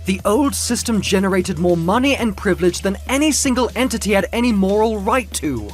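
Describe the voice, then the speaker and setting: A proud winged muse, acted out by a man for an audio comic book, declaims in a high, sweeping voice. He is indignant and grandiose, pitching his speeches like an impassioned orator, with music behind him.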